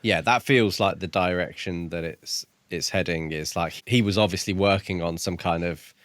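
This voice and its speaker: Low-voiced man talking books. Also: moderate pace, a bit bright, no room sound. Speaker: podcast co-host. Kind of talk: casual book discussion.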